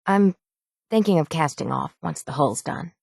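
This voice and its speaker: A woman voicing a gruff, stubborn, hard-bitten shipwright in a video game, with salty, plainspoken seafaring talk. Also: sits low, animated, normal speed, a little mellow, no room sound, studio quality, exaggerated voice. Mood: gruff, brisk. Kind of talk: video game character dialogue.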